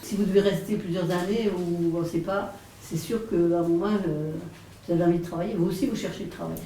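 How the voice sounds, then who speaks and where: A woman's voice: fairly low pitch, fairly even intonation, fairly quick, warm tone, recorded from a distance. A woman teacher guiding an informal group discussion, prompting people and confirming what they say. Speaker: language class teacher leading a group discussion. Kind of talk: informal guided class discussion. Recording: echoey room, off mic, faint noise.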